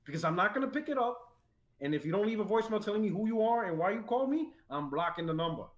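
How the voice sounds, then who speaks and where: A man co-hosting a casual podcast, telling an animated story and mimicking callers. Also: high voice, fast talker, echoey room. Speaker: podcast co-host.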